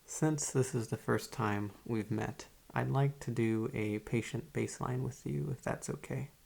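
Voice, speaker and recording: A man playing a calm, formal, probing psychiatrist in a soft-spoken ASMR roleplay, with a creaky, husky voice and a measured, polite manner. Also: medium pitch. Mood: calm, courteous. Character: calm, formal, probing male psychiatrist interviewing a young patient.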